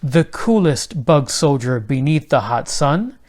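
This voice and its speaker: A man narrating a children's rhyming storybook aloud in a measured delivery. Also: fairly high pitch, lively intonation, a little mellow.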